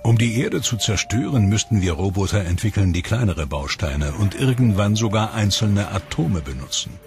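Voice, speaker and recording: A man narrating a documentary from a script in a measured way, slowing down and dropping his voice on the ominous lines. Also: on the low side, animated. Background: documentary music score.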